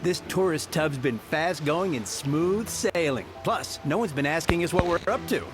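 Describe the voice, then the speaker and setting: A man's voice: fairly high pitch, animated, moderate pace, natural voice. An actor playing a fawning smuggler henchman in a video game, bossy to those below him. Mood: flattering. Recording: game music behind voice. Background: game music.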